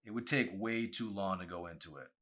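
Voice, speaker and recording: A man plays a smitten teenage boy in an audiobook. He fumbles hesitantly, awkward and quick to get defensive, in a bright voice. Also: deep voice. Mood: awkward, nervous.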